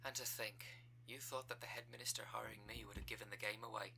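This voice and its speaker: A man's voice: fairly low pitch, fairly even intonation, fast talker, vocal fry, crowd noise, rough recording. A man voicing a scheming female cult recruiter in an audio roleplay, speaking with formal, businesslike politeness and dry condescension. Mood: smug.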